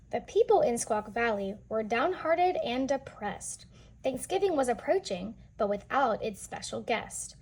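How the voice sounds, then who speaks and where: A woman's voice: a little high, a little mellow, breathy edge. A woman reading a picture book aloud to young children, explaining and asking questions as she goes. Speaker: children's read-aloud storyteller.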